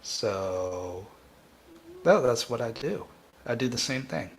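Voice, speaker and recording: A man hosting a live coding tutorial, thinking aloud in a halting, informal way and often restarting his sentences. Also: medium pitch, expressive, a little hiss or hum. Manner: halting, self-interrupting, informal. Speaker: livestream host, programming instructor.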